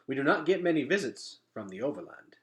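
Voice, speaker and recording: A man's voice: medium pitch, very animated, brisk, creaky. A man voicing a dignified, courteous male elder in a storybook, with formal, old-fashioned phrasing.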